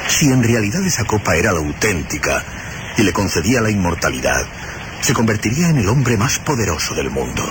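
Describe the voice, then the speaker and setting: Male narrator for horror radio drama: very low, expressive, solemn, set against loud rain and storm noise. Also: brisk. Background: rain and storm ambience.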